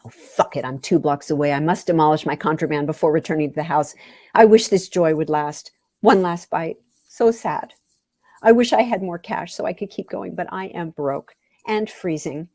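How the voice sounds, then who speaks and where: A woman's voice: deep voice, moderate pace, darkish tone, no echo, clean studio sound. A woman reading a first-person story theatrically and expressively, at times dropping toward a whisper. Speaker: author reading own memoir story.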